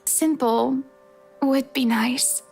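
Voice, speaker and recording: Visual novel wife, high voice, polite, measured, hesitant, music underneath. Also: female voice, steady tone, vocal fry, a little mellow, heavily acted. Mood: polite teasing. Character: wife gently asking her husband for a separation. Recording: music behind the voice.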